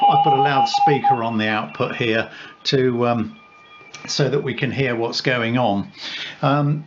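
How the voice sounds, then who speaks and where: Man, medium pitch, hobbyist demonstrator, informal, halting, self-correcting, muffled recording with noticeable background noise. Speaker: amateur radio hobbyist demonstrating equipment. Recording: dull sound with loud background noise.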